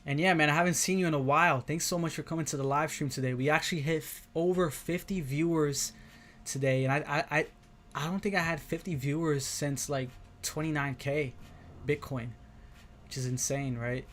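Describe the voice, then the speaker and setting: A man's voice: on the high side, a little fast, fairly bright tone, slight background noise. A man with an American accent talking casually and informally as a livestream host, repeating himself and often checking in with listeners. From Brazil, moved to the United States as a young child. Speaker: livestream host, crypto trader.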